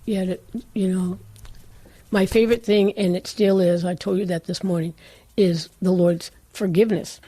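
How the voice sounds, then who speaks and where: Low-voiced woman, soft husky co-host. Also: fairly even intonation, moderate pace. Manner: warm affirming listener, frequent mm-hmms. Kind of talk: casual chat.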